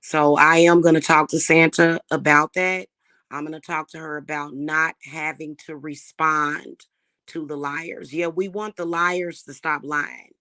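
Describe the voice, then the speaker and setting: A woman talks straight to her viewers in a loose, chatty way. Her voice is slightly hoarse, and her tone stays defiant and unbothered. She calls the audience "y'all" throughout. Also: sits low, little pitch movement, a little slow, sharp tone. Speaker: spiritual reader and channel host. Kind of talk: casual talk to viewers.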